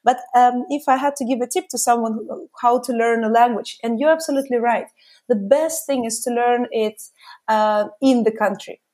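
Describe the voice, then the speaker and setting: A woman's voice: on the high side, moderate pace. A woman with a Russian accent, a podcast guest telling stories in casual conversation, with many fillers as she talks. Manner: lively storytelling with frequent fillers.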